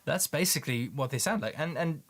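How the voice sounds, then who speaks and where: A man's voice: on the high side, animated, a bit bright. A man from the Netherlands with a Dutch accent, chatting casually with viewers on a livestream and often stumbling and repeating words. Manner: halting, repeats words, many ums. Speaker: livestream host, paint maker.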